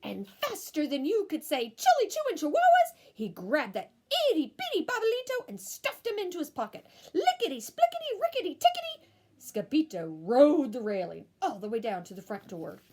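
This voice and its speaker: Very animated, high-voiced woman reading a picture book. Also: normal speed. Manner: theatrical, dropping into whispered asides to young listeners. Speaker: teacher reading aloud to pupils.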